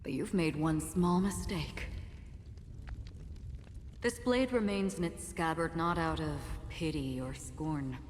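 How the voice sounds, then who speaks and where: Game swordswoman: low, solemn, composed and mysterious, restrained delivery in long reverb. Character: calm, enigmatic swordswoman, somber and resolute. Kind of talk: dramatic game cutscene acting. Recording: long reverb, faint noise.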